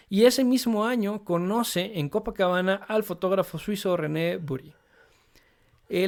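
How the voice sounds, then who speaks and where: Man, fairly high voice, relaxed unscripted explainer, many hesitations. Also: creaky. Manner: relaxed, frequent fillers "eh" and "este". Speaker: photography channel host.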